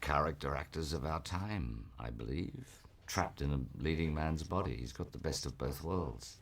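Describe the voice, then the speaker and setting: A man speaking in a measured, reflective way in an archive interview clip. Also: a bass voice, lively intonation, gravelly.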